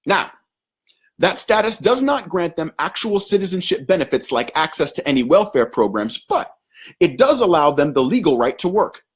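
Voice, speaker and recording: Young male host, husky high voice, punchy rhyming rants full of slang. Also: sing-song, normal speed. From Northern Virginia, USA. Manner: punchy, rhyming delivery with slang and emphatic shifts. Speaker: show host. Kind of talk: scripted comedic commentary.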